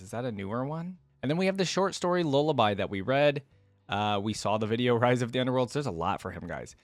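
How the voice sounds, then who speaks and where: A man's voice: mid-range voice, no echo. A man hosting a reaction video, reading game lore aloud and dropping in casual, informal opinions of his own.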